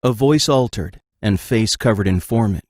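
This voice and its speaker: A man's voice: fairly low pitch, moderate pace, dry recording, studio quality. A man narrating an audio drama, reading out scripted scene announcements.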